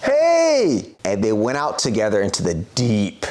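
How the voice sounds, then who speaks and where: A man co-hosting a children's read-aloud, playful and expressive, drawing out words and addressing the kids directly. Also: fairly low pitch, a little slow. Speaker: co-host reading a children's picture book.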